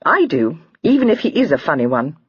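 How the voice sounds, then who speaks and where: A woman reading aloud, voicing an excitable, teasing young lady in a high, breathy, girlish voice. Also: fairly quick, dry recording, muffled recording, exaggerated voice. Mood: chattering.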